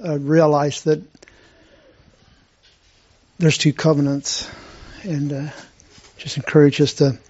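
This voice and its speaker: Man, church discussion, halting and hesitant, fairly high voice, dull lo-fi recording. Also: level tone, a little mellow, slightly rough. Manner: halting, many restarts and fillers. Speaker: church member sharing. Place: room with a group. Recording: dull lo-fi sound.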